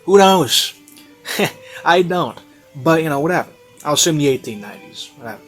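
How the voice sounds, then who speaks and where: A man's voice: fairly high pitch, expressive, moderate pace. Rambling man reviewer over music. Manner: rambling, hesitant, filler-heavy. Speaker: online reviewer. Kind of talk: casual unscripted review commentary. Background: classical music.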